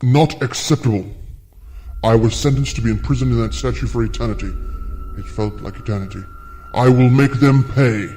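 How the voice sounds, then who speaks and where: A man's voice: mid-range voice, normal speed, mellow, faint music behind, close to an everyday voice. A man playing an ancient alien prisoner in an audio drama, speaking formally with courtesy that covers menace. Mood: cold, vengeful.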